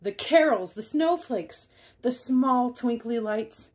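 A woman reading a children's picture book aloud in an expressive way, stressing key words. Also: on the high side, slow pace, slightly rough. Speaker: storybook reader.

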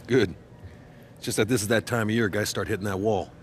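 A man's voice: fairly low pitch, steady tone, brisk, a little hiss or hum, lightly acted. A man playing a middle-aged athletic trainer in a video game, with an American accent and a firm, matter-of-fact coaching manner. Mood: concerned. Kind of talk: naturalistic video game cutscene acting.

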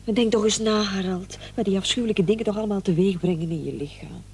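A woman's voice: medium pitch, fast, darkish tone, slightly rough. An actress playing a concerned, weary wife who soothes her nervous husband in a radio play. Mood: soothing, doubtful. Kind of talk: Dutch radio play acting.

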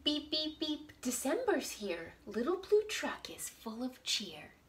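A woman with a lively, fairly high voice presents a picture-book storytime for young children. She speaks deliberately and expressively, recorded in a room at home with some faint background noise. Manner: animated, deliberate, talking to small children. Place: small indoor room at home.

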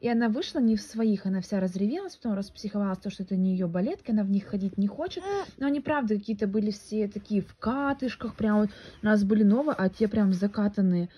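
Mellow-voiced young mom vlogging. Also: female voice, mid-range voice, fast talker, slightly rough, muffled recording. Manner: chatty, rambling with fillers and restarts. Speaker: vlogger, mother. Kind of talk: casual home vlog chat. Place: room at home, indoors. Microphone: phone at arm's length.

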